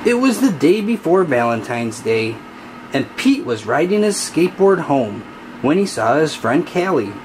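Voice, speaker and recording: Someone reading a kids book out loud, slow n really animated, bit of noise behind. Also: medium pitch. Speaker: storybook narrator. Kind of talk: children's read-aloud narration.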